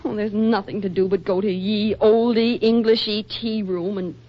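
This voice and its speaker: A woman's voice: mid-range voice, steady tone, moderate pace, close to an everyday voice. A woman acting in a 1940s radio comedy as a flustered, helpless society hostess, fluttery and melodramatic. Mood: flustered complaining. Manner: fluttery, exaggerated, dramatic. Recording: dull vintage 1940s radio recording.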